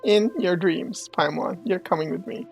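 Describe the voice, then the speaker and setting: A woman's voice: on the low side, normal speed, vocal fry, soft background music. A woman hosting a gaming video, casually reading dialogue aloud and reacting.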